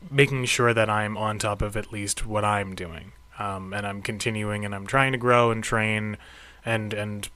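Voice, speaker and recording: A man's voice: lowish voice, sharp tone, no echo. A man talking off the cuff in a casual, reflective audio diary, with an encouraging manner. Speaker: host of a karate training audio log.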